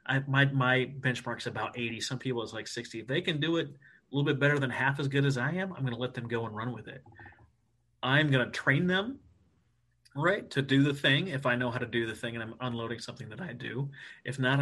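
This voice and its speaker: A man from the United States, a Navy officer guesting on a podcast, talking in an animated, emphatic and self-deprecating way. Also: mid-range voice, fast talker. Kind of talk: casual podcast interview.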